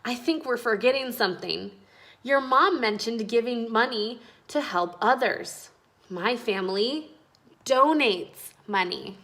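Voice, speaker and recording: A woman voicing an eager, earnest young schoolgirl in a storybook, excitable and quick to chime in. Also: on the high side.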